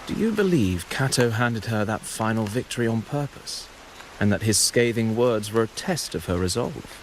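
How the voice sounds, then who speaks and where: An actor playing a humble male storyteller poet in a video game, speaking in a measured, poetic, declamatory way. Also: lowish voice, background noise, close to an everyday voice. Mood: solemn. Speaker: game character. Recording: game audio with loud rain. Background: steady rain.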